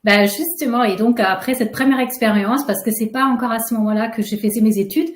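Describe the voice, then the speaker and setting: A woman from Austria with an Austrian German accent, a webinar guest who tells her career story in a relaxed, chatty way full of fillers. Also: fairly high pitch, brisk. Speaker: alumna guest. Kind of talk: webinar interview. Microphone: video call. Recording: echoey room on a video call.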